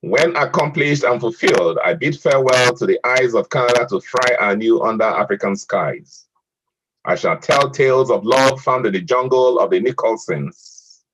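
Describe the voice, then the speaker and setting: A man moderating an online poetry event, reading a poem aloud in a measured way and hesitating with fillers between speakers. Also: mid-range voice, a bit bright, a little hiss or hum. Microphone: online video call audio. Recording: muffled lo-fi call audio.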